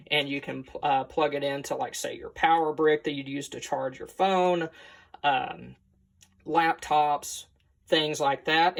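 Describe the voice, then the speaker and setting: American guy doing a laid-out gear review, plain talking and kinda folksy, casual explainer style. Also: fairly high pitch. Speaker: product reviewer and host. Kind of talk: casual unscripted product review.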